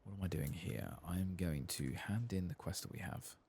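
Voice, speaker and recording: A man speaking softly and at times whispering in ASMR gameplay commentary, murmuring and musing to himself close to the microphone. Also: low voice, moderate pace, a little hiss or hum. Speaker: host of a gaming ASMR channel.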